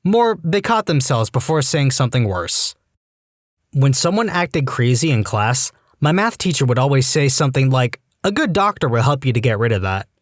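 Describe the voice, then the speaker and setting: A man narrating listener-submitted stories in a lively storytelling manner. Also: mid-range voice, moderate pace, dry recording, studio quality. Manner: lively storytelling, jumps into quoted voices.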